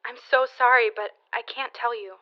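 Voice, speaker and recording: Woman acting high-voiced earnest schoolgirl, muffled line. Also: steady tone, normal speed, close to an everyday voice. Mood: grave, worried. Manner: earnest, formal, urgent. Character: earnest, anxious schoolgirl, formal and urgent. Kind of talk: period audio drama acting.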